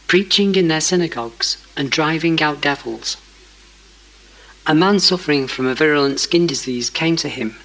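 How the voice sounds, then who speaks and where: A man with a slightly high-pitched voice reads scripture aloud. He delivers it as a scripted Gospel narration. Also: pushed and bright, low-quality recording. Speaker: scripture reader.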